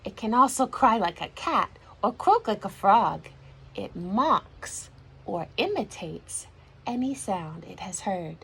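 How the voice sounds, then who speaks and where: A woman reading a children's storybook aloud like a teacher, in an expressive, deliberate storytelling manner. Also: mid-range voice, vocal fry, husky.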